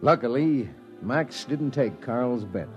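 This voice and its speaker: A man's voice: medium pitch, expressive, slow pace. An actor playing a wry spaceship crewman who narrates a grim expedition in a dry 1950s radio drama style. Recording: dull old radio recording with music.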